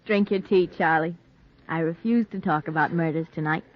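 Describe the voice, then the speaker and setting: An actress playing a reporter's worried, affectionate young fiancee, earnest and tender, in a 1940s radio drama. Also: female voice, low voice, normal speed, natural voice. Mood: playful, tender. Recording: muffled vintage radio recording.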